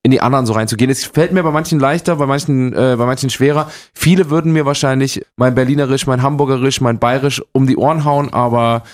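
This is a man in his forties who grew up in eastern Germany but speaks standard German. He is a stage performer talking casually and with humor as a guest. His talk is wordy and fast, and he breaks into his own sentences as he goes. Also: mid-range voice, fairly even intonation. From eastern Germany, Thuringia and Saxony. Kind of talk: casual humorous interview chat.

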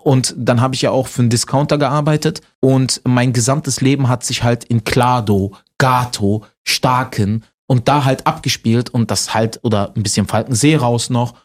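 Chatty comedian guest. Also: male voice, medium pitch, normal speed, few pauses. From Berlin, Germany. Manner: chatty, self-mocking, lots of fillers. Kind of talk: casual interview chat.

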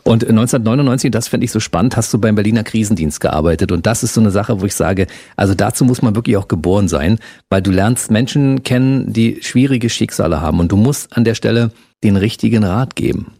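A man's voice: fairly low pitch, fast talker, hardly any pauses, dark tone, slightly rough, no echo, studio quality. A man hosting an interview, asking eager, leading questions. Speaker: interviewer, host.

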